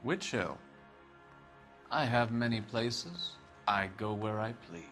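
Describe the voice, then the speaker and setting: An actor playing a bitter, defiant American vagrant and ex-Marine killer in a video game, speaking in cold, contemptuous pronouncements. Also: male voice, lowish voice, animated, slow pace, fairly bright tone, soft background music. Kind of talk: video game cutscene acting.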